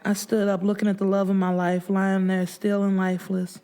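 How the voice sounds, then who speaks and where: A woman's voice: medium pitch, completely flat delivery, normal speed, mellow, clear, resonant tone. A woman narrating first-person fiction as an audiobook, with emotive, sorrowful delivery.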